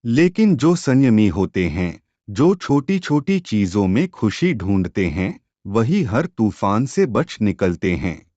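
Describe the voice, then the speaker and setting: Wise, preachy cartoon tailorbird, played by a man: measured moral teacher, expressive intonation, dry dull recording. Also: fairly low pitch, dry recording. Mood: solemn, preaching.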